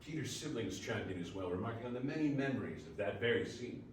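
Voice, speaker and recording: Man, lowish pitch, scripted story narration, far from mic, faint background noise. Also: animated, quick pace. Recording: very echoey room, distant mic, faint noise.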